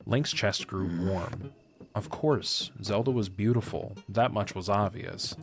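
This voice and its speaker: A man's voice: on the low side, unhurried, faint music behind. A man narrating a fantasy audio drama in a scripted storybook style.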